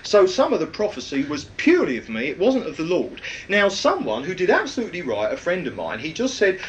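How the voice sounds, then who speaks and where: A man with a loud, projecting voice, preaching a rambling, anecdotal sermon with many fillers. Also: high voice, huge pitch swings, brisk, muffled recording, slight background noise.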